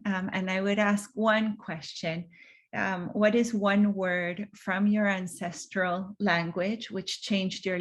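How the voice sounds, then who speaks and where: Soft-spoken woman filmmaker from Venezuela, flat delivery. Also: medium pitch. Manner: soft, with many ums. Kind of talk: online presentation. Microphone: video call.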